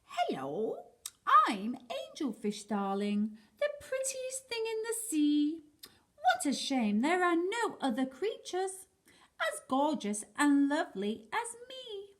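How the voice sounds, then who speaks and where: A woman's voice: sits high, wildly swooping pitch, slow pace, pitched above the performer's own voice, heavily acted. A woman voicing a vain, preening female angelfish from a children's storybook in an affected, self-admiring manner. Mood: smug vanity.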